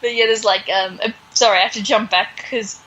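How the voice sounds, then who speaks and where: A woman's voice: mid-range voice, fairly even intonation, fairly quick, sharp tone, dull audio. A woman hosting a podcast, casually recapping a story in a sarcastic, exasperated way. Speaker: podcast host.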